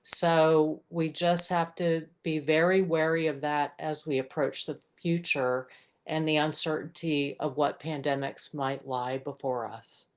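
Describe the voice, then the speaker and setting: A middle-aged woman, a nurse, giving an earnest unscripted comment over a video call in a measured, halting way. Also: low-pitched, level tone. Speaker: nurse, audience participant.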